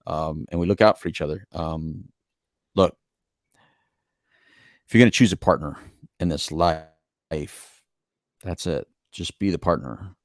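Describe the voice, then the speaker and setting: Low-voiced middle-aged American man, dry sound. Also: a little slow, long pauses, no room sound. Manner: earnest, rambling, repeats phrases for emphasis. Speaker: podcast co-host. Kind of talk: casual reflective relationship talk.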